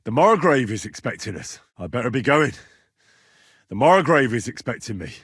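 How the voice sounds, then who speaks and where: A young medieval soldier for a video game, earnest and a little cheeky. His delivery swings freely in pitch, with a husky edge to the voice. The sound is close and dry, and he speaks plainly, as if in conversation. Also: sing-song, moderate pace, a bit bright, no room sound. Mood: matter-of-fact. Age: young adult. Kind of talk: video game character dialogue.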